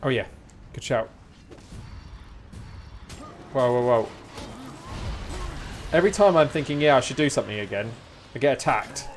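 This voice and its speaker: A man's voice: medium pitch, expressive, normal speed, pauses often, slight background noise. A man with a British accent streaming a game, giving casual, chatty commentary and reacting aloud as he goes. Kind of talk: casual live gameplay commentary.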